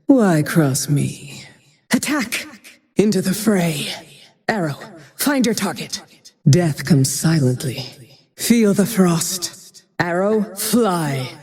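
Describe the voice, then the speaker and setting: A grim, cursed frost archer for a video game. Her voice is dark and breathy, at times nearly a whisper, and she speaks in slow, clipped, menacing phrases. A long cavernous reverb trails each line. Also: fairly low pitch, lively intonation, full character voice. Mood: cold menace. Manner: cold, terse, menacing. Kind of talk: video game character voice lines.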